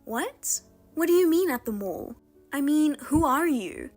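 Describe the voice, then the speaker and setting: A woman voicing a betrayed older sister who talks in a flat, cold, restrained way. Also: high voice, a little slow, soft background music. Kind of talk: narrated drama voice-over.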